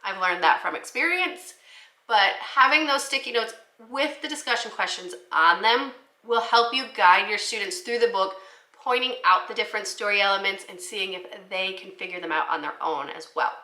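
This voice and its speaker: A woman teacher giving an instructional talk to fellow educators, explaining things clearly. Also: mid-range voice, moderate pace, sharp tone. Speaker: teacher presenting children's books for the classroom. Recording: roomy, echoey indoor sound.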